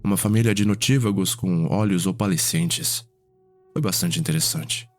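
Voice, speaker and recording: A man playing a composed, fond vampire healer and elder brother in an ASMR roleplay, speaking in a measured, formal way. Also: sits low, faint music behind, close to an everyday voice. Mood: composed, weary.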